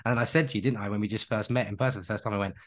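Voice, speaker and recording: A man's voice: lowish voice, fairly even intonation, very fast. A man co-hosting a podcast, chatting casually and enthusiastically, piling on praise and rambling through restarts. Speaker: podcast co-host.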